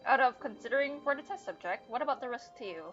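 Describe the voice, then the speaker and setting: A young woman streamer with a fairly high voice chats casually over a game, with playful, wry remarks. The mic sounds a bit dull, and game music plays clearly behind her. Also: animated, fast talker, fairly bright tone. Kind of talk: casual livestream commentary, reading game text aloud.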